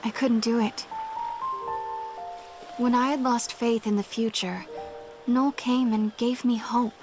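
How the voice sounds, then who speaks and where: Gentle young game heroine, high voice, hesitant and earnest, music behind her. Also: fairly even intonation, slow pace. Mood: sorrowful resolve. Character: gentle young woman, anxious, bewildered time traveler with resolve. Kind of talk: dramatic video game voice acting.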